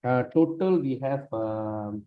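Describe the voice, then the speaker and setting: A man leading a scripture class, speaking haltingly with many fillers and restarts while he has lost his voice. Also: medium pitch. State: lost his voice, sprained neck. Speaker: class leader. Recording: dull lo-fi room recording.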